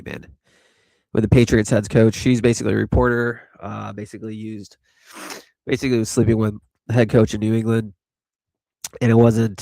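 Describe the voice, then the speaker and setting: A man's voice: lowish voice, a little mellow, no room sound. Laid-back man hosting a live chat. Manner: rambling, laid-back, filler-heavy. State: stuffy with allergies. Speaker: host. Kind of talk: casual live crypto chat.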